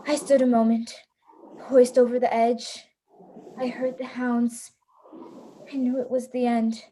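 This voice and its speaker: A woman's voice: a little high, little pitch movement, measured pace, warm tone, a little hiss or hum, close to an everyday voice. A woman playing a hunted, defiant hunter in a radio play, terse, dramatic and grimly resolute. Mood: desperate.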